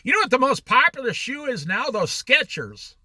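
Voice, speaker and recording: Bright, lively-voiced man teaching. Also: extremely high pitch, moderate pace, no echo, clean studio sound. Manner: animated, challenging rhetorical questions; jokey asides. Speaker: Bible study teacher. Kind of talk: informal Bible study talk.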